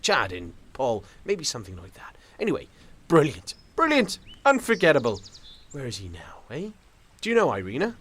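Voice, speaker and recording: An actor playing an opinionated, forgetful older husband who rambles emphatically in an audio drama. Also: male voice, mid-range voice, huge pitch swings, normal speed, a bit bright, slightly rough, exaggerated voice. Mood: flustered, effusive. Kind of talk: theatrical dialogue.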